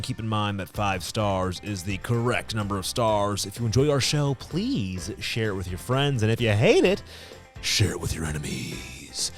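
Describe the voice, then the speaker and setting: An American man hosting a comedic podcast in a casual, joking and theatrically expressive way. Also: on the low side. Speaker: podcast host.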